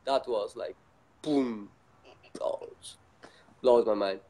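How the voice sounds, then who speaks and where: A man who speaks English as a second language, talking casually as an interview guest in a halting, repetitive way with many fillers. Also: lowish voice, mellow. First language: not English.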